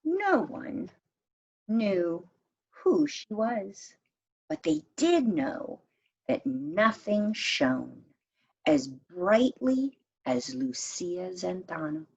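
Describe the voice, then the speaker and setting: A woman reading a children's picture book aloud in a measured, expressive storytime manner, as if to young listeners. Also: mid-range voice, gravelly, breathy edge, muffled recording. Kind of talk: read-aloud storytime narration.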